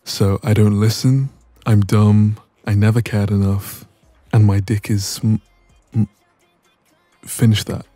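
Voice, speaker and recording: A man playing a dominant, cocky young ex-boyfriend in an intimate audio roleplay, soft and breathy, smug and casual, close to the microphone. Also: on the low side, little pitch movement, slow, no echo, soft background music. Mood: smug, irritated.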